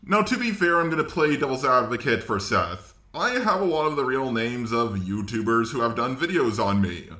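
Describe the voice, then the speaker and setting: A man's voice: medium pitch, expressive, normal speed, few pauses, bright, forceful tone. A man giving casual reaction commentary. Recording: roomy, echoey voice recording.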